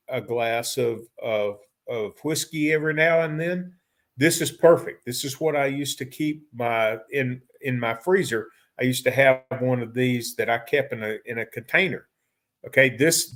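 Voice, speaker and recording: A folksy middle-aged man at the front of a repair technicians' training class, haltingly talking colleagues through a job and circling back over his phrases. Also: mid-range voice, fairly bright tone. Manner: folksy, halting, repeats and rephrases himself. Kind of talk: informal training lecture.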